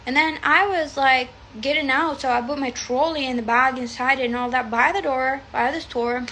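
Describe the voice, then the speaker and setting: High, gravelly Arabic-native woman rambling. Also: steady tone, normal speed, bright, forceful tone, slight background noise. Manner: chatty, rambling, swears freely. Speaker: tarot reader. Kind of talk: casual livestream chat. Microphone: phone livestream.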